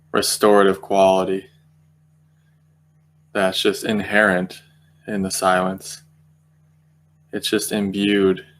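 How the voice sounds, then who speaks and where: Low, very even-toned man guiding meditation. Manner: gentle, slow, meandering with long pauses. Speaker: guided meditation teacher. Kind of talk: guided meditation, unscripted spiritual talk.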